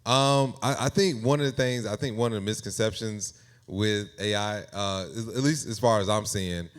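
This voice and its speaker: A man speaking casually as an interview guest, thinking aloud in a halting, conversational way. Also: lowish voice. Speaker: guest AI music creator.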